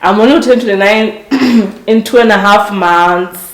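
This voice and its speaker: A woman's voice: mid-range voice, normal speed, roomy sound, rough recording. A woman in her late twenties hosting a podcast, talking earnestly and reflectively, often correcting herself mid-sentence. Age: 20s. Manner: earnest, reflective, with frequent restarts and self-corrections. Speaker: podcast host. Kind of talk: casual motivational monologue.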